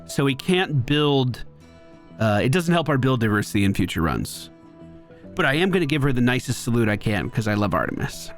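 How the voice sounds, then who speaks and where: A man's voice: medium pitch, big pitch swings, fairly quick. A man hosting a gaming video, chatting and thinking aloud analytically as he plays. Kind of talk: gameplay commentary. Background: faint game music.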